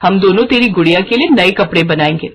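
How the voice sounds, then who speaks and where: A woman voicing a fond, teasing village mother in a cartoon moral story, affectionate and gently scolding. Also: mid-range voice, animated, fast, natural voice.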